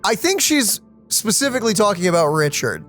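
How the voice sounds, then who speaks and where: A woman's voice: medium pitch, very animated, a little fast, a bit bright. A woman streaming a let's play, casually commenting and reading game dialogue aloud. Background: game music.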